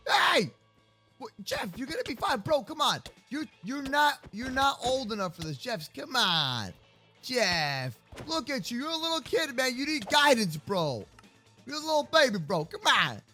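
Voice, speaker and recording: An excitable, bossy little daycare boy played by a man in a video game roleplay. The voice is very high and sharp, swinging widely in pitch. He talks in slangy exclamations and teasing, repeated phrases. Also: soft background music, exaggerated voice. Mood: teasing, reassuring. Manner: exclamatory, slangy, repeats words in rapid bursts. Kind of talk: improvised kids' game roleplay.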